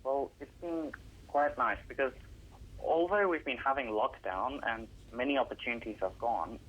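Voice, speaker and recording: A man's voice: mid-range voice, moderate pace, fairly bright tone, breathy edge, lo-fi sound. Earnest teenage boy composer. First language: Russian. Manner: earnest, explains at length with some hesitations. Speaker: young composer guest. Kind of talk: radio interview answers.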